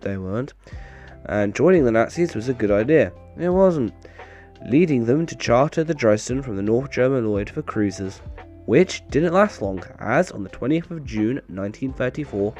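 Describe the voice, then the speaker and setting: Male video narrator, mid-pitched, wry and deadpan, slightly off voice from a recent cold, faint background music. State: getting over a bad cold, voice slightly off. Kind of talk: scripted narration with dry humour, casual outro.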